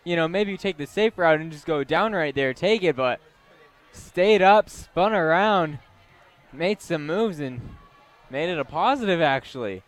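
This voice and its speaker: A young man with a somewhat high voice works as color commentator on a live game. He reacts to the plays and talks through what the athletes did. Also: animated, a little slow.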